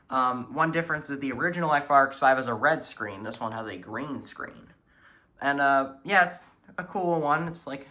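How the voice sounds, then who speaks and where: A man's voice: mid-range voice, pushed and bright. A man casually walks viewers through a hobby collection in an informal, matter-of-fact way. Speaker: hobbyist video presenter.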